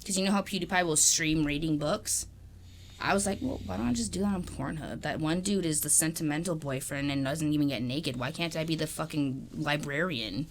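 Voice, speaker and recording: A woman's voice: sits low, fairly quick, a little hiss or hum. A young woman co-hosting a podcast, rambling in casual chat with lots of fillers and swearing. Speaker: podcast co-host.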